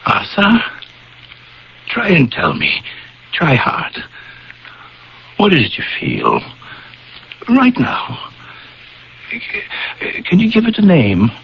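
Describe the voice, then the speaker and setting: A man's voice: high voice, huge pitch swings, slow, creaky, long pauses, slightly rough, exaggerated voice. An actor playing an earnest, troubled friend, with dramatic, hesitant delivery in a radio mystery play that he also narrates. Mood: urgent, pleading. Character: earnest, troubled male friend recounting eerie events. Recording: dull, noisy vintage radio broadcast.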